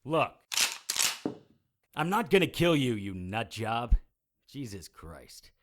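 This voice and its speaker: A man's voice: medium pitch, very animated, slow pace, noisy background. A man playing a wry, weary supernatural private investigator in an audio drama, with a dry, sardonic manner. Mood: alarmed, angry. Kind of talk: audio drama acting with first-person noir narration.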